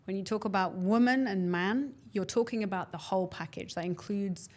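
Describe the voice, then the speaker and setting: A woman artist in a casual discussion, emphatic and precise, quick to laugh. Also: medium pitch.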